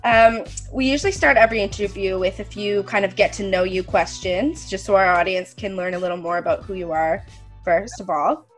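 A woman's voice: medium pitch, a little fast, faint music behind. A woman hosting a podcast interview over a headset from home, friendly and hesitant with many ums. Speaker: podcast host. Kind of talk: casual interview host. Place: room at home.

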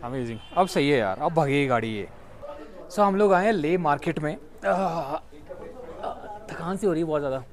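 A high-voiced young man chats away as he walks around outdoors, recording himself on a clip-on mic. He drops English words into a casual travel vlog. The chatter of a crowd hums behind him. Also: animated, moderate pace. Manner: chatty, mixes English words into his talk. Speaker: travel vlogger. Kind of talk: casual vlog chat while walking. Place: outdoors in a busy town market.

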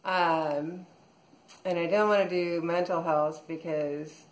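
A woman's voice: fairly low pitch, steady tone, takes it slowly. Easygoing woman vlogging in an echoey room. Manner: rambling, many fillers and restarts. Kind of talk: casual daily video diary. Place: small room at home, indoors.

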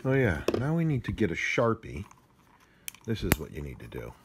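A man's voice: sits low, wildly swooping pitch, normal speed. A man chatting casually and off the cuff as he handles gadgets, muttering to himself in a playful, mildly crude way. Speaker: amateur product unboxing vlogger. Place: indoors at a table. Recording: noisy home phone-camera recording, device clicks.

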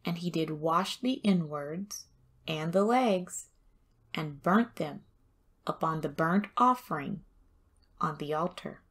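Slow, low-pitched woman reading scripture. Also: expressive, fairly bright tone, slightly rough. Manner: measured, deliberate, with frequent pauses. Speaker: host and scripture reader.

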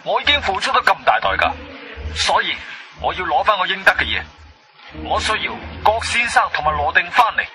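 A man's voice: sits high, big pitch swings, a little fast, bright, forceful tone, faint music behind, heavily acted. A man playing a shrewd, defiant adventurer in a Cantonese radio drama, with a wry, firm, dramatic delivery. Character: shrewd, defiant male adventurer telling his own story. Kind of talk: radio drama acting and narration.

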